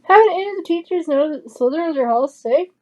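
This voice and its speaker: A woman performs an agitated young schoolboy in an audio play. The voice is very high and swoops in pitch. It is loud, insistent and full of indignation. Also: lively intonation, quick pace. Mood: indignant. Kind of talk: fan audio drama acting.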